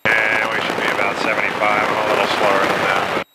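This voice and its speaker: A man's voice: on the low side, completely flat delivery, brisk. A man speaking as a private pilot, making radio calls and flight commentary in clipped procedural phrases. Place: small propeller plane cockpit in flight. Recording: very loud engine and cockpit noise.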